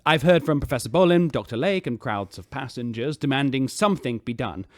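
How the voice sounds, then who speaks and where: An actor playing an insecure, people-pleasing starship captain who makes formal announcements in a hesitant, placating way. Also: male voice, medium pitch, expressive, brisk, no echo, studio quality, lightly acted. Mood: nervous, placating. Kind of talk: sci-fi audio drama acting.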